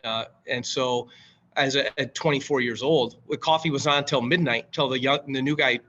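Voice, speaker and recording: A man's voice: medium pitch, fairly even intonation, moderate pace, sharp tone, muffled recording, a little hiss or hum. A man in his late forties with an American accent, telling stories casually and colloquially as a podcast guest. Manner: colloquial, familiar, calls listener man and brother. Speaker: podcast guest, firefighter and coffee company director. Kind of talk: casual conversational storytelling.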